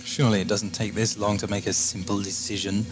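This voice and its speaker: A man's voice: on the low side, level tone, a little fast, over music, natural voice. A man playing an impatient, taunting villain in an audio drama. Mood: impatient, smug. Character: impatient, smug male villain.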